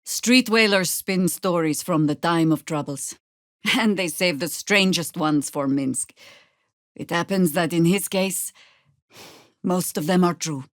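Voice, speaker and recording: Woman acting as stern veteran druid woman, lowish voice, wry, commanding, measured, fantasy game dialogue, dry close recording. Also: dry recording, lightly acted. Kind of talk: video game character dialogue and spell shouts.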